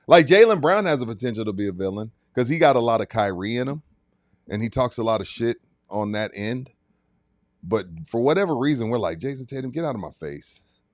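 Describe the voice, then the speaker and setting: A man's voice: medium pitch, lively intonation, a little fast, no room sound, studio quality. A man co-hosting a sports podcast, blunt and profane, often mocking players by quoting them. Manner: blunt, profane, mocks players in quoted voices. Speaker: sports talk podcast co-host. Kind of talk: casual sports chat.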